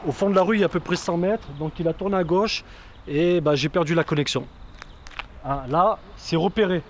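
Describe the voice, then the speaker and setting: A man's voice: on the high side, brisk. A man giving a casual product review chat in informal, slangy speech full of verbal tags. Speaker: video creator reviewing gear. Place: outdoors by a street. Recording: outdoors with faint traffic noise.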